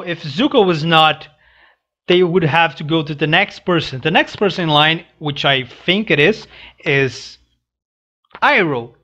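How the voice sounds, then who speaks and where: A young man who is not a native English speaker, giving casual reaction commentary in a halting, hesitant way into a headset mic. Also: fairly high pitch, sharp tone. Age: young adult. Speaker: reaction channel host. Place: small room indoors. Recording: dull lo-fi headset audio.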